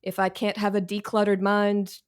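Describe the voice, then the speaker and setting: A woman's voice: mid-range voice, completely flat delivery, moderate pace, smooth. Candid woman with wry self-deprecation. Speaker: guest author. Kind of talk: conversational interview answers. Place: cluttered room at home, on a video call. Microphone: video call.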